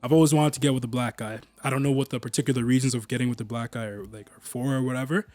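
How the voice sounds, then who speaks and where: A man's voice: mid-range voice, steady tone, rapid-fire, a little mellow, no room sound. A young man co-hosting a podcast, rambling through his thoughts with lots of fillers and calling his friend bro. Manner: rambling, filler-heavy, says bro a lot. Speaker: podcast co-host. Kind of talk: casual chat.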